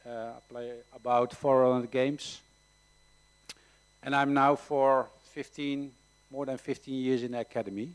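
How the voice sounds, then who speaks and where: A man in his fifties speaks slowly and softly at a press conference, pausing often as he finds his words. His voice sits in a middle register, and he sounds measured rather than eager. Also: mid-range voice, flat delivery, clean tone. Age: 50s. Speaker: academy technical coordinator. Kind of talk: press conference self-introduction.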